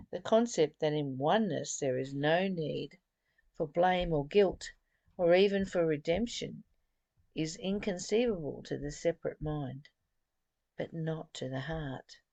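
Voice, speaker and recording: A woman giving a reflective spiritual lesson, reading and explaining in deliberate, emphatic phrases with pauses and repetition. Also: sits low, mellow, no room sound. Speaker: spiritual study teacher.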